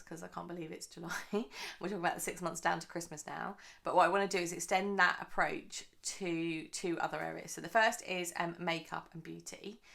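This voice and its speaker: A woman hosting her own budgeting vlog, a little croaky from the early morning, chatting in a rambling way with lots of fillers. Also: on the low side, fairly bright tone. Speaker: budgeting channel host.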